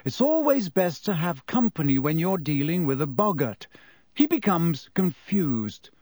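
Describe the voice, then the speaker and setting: A man voicing a kind, calm, middle-aged male professor in an audiobook, speaking in a patient, encouraging way. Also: a little high, measured pace, no echo, clean studio sound, pitched above the performer's own voice. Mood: patient teaching. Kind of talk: audiobook character voice.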